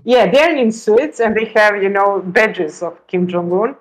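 A woman's voice: mid-range voice, lively intonation, unhurried. A middle-aged woman from Serbia with a Serbian accent, telling stories conversationally in non-native English as an interview guest. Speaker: interview guest, travel writer. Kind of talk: conversational storytelling. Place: indoor room, on a video call.

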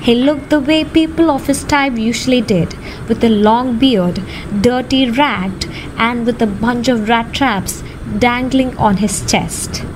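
High-pitched young woman narrating a story. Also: unhurried. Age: young adult. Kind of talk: scripted story narration for amateur radio drama. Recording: clearly noisy, ambient sound behind.

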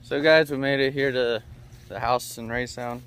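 A man's voice: mid-range voice, steady tone, normal speed, fairly bright tone, clear, resonant tone. A young man vlogging casually to the camera about a fishing trip, talking easily to his viewers from a car and then a boat. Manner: easygoing, laid-back, talks to viewers. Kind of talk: casual vlog chat to camera. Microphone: phone held at arm's length. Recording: noisy outdoor phone recording.

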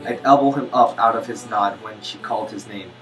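A man's voice: fairly low pitch, brisk, fairly bright tone, soft background music, close to an everyday voice. A man acting as a haunted, poor young father, narrating his bitter confession as a dramatic monologue. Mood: bleak, bitter. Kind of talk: first-person dramatic monologue narration with music.

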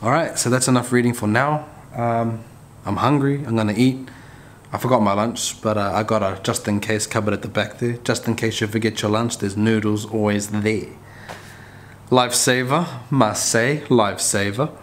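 A man's voice: on the low side, fairly even intonation, normal speed. Laid-back man, noisy room. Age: 30s. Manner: laid-back, slangy, repeats phrases. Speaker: barber vlogger. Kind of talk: casual chat to camera. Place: small bare barbershop room. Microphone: camera mic at arm's length or nearby. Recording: clear background noise, room ambience.

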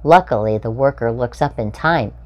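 A woman in her forties reading a children's picture book aloud, expressively and with deliberate phrasing for young children. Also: a bass voice, a little mellow, highs cut off. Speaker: storytime host reading aloud. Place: small furnished room indoors.

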